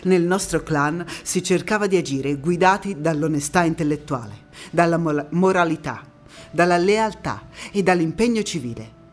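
A woman's voice: on the low side, natural voice. An actress as a proud, defiant partisan woman storyteller. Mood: proud, grave. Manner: dramatic, measured phrasing with deliberate pauses. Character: proud, defiant Italian anti-fascist partisan woman recalling her youth. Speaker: stage actress in a one-woman monologue. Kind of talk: theatrical first-person storytelling monologue.

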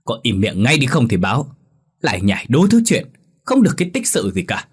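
A hot-tempered older village chief barks and scolds, his voice jumping high when he shouts, as a man voices him. The tone is husky and the pitch lively in a spoken folk tale. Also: brisk. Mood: angry.

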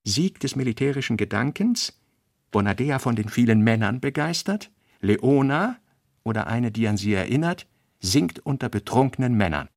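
Male narrator, mid-pitched with creaky grain, dry studio sound, measured delivery of literary fragments. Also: animated, dry recording. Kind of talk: literary radio drama narration, reading fragmentary notes.

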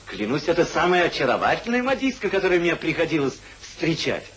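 Mocking, animated young rake. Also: male voice, fairly high pitch, a little fast. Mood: amused mockery. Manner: theatrical, teasing, ironic. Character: rakish, mocking young gentleman, witty carefree brother. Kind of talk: 1950s radio stage-comedy acting. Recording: hissy 1950s radio play recording.

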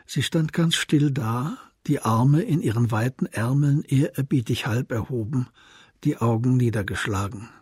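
An actor as the narrator of a radio crime drama, reading literary description in a measured, composed manner. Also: male voice, medium pitch, a little mellow, slightly rough, no room sound, clean studio sound. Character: composed literary storyteller of a detective tale.

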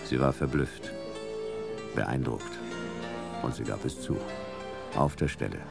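An actor playing a laconic, wry hard-boiled private detective in a German sci-fi radio crime drama, speaking in dry, clipped sentences. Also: male voice, rumbling low, slow pace, vocal fry, loud music behind the voice, heavily acted. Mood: dry, wry narration.

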